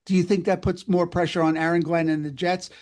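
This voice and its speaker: Higher-pitched man interviewing about sports. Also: little pitch movement, a little fast, darkish tone. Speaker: interviewer, co-host.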